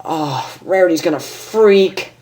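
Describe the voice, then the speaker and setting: A woman's voice: lowish voice, expressive, a little slow, a little mellow, voice pushed up, rough recording. A woman voicing a brash, nervous, lovestruck female pony from a cartoon, blurting her words in a flustered, informal way.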